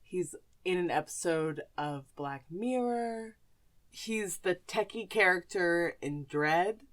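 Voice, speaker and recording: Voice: medium pitch, expressive, takes it slowly, a bit bright. A woman podcast host chatting casually and informally about films and actors, with some slang.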